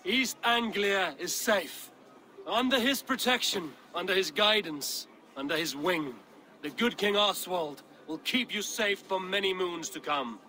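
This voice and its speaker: A man playing a steadfast, blunt Viking warrior in a video game, speaking in solemn, measured, formal phrases. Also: sits high, bright, forceful tone, poor audio. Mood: resolute, proud. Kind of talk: dramatic video game acting.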